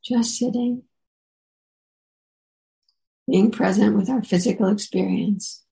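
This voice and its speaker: A woman teacher leading a guided meditation in a soft, relaxed way, leaving gentle pauses. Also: mid-range voice, vocal fry, rough recording.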